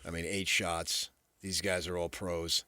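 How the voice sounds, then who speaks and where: An American man co-hosting sports radio in a low, punchy voice. He talks informally and bluntly, trading casual commentary close to the mic. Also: little pitch movement, a little slow, pushed and bright, dry recording. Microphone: close studio microphone.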